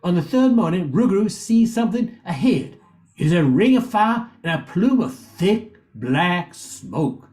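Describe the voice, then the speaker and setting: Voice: medium pitch, expressive, a little slow, darkish tone. An older amateur narrator reading a scripted radio play, occasionally stumbling and repeating words.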